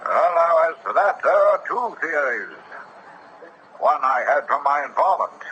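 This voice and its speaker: An actor in a 1970s radio drama playing a grave storyteller relaying an eerie legend, with formal, old-fashioned diction and drawn-out suspense. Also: male voice, on the high side, animated, bright, forceful tone. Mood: grave, mysterious. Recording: dull, noisy old radio broadcast.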